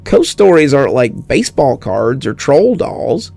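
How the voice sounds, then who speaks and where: A man's voice: mid-range voice, big pitch swings, unhurried, creaky, warm tone, faint music behind, full character voice. A man voicing a mischievous, curious six-year-old girl in a horror story reading, with a pert, inquisitive, childlike manner.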